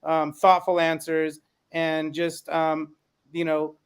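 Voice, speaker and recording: A man's voice: on the high side, completely flat delivery, slow pace, smooth. A man co-hosting a podcast, an educator giving earnest thanks to the panel. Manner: earnest, grateful. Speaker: co-host, educator. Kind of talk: closing thank-you remarks.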